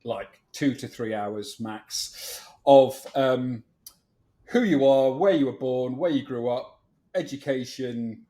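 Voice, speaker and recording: Male podcast host, casual interview style, jokey and self-deprecating, mid-pitched voice. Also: slow, warm tone.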